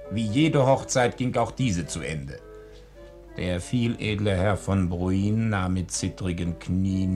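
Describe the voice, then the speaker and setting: An actor playing a droll, theatrical storyteller narrating a bawdy tale in a 1940s radio play. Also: male voice, low-pitched, a little mellow. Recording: vintage 1940s radio recording.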